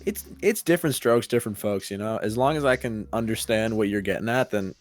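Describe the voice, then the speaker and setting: Casual, swearing podcast host. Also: male voice, fairly low pitch, brisk, faint music behind. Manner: relaxed, swears casually, laughs often.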